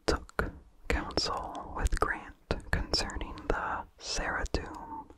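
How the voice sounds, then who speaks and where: A narrator reading a vintage horror story aloud in a soft, measured ASMR whisper.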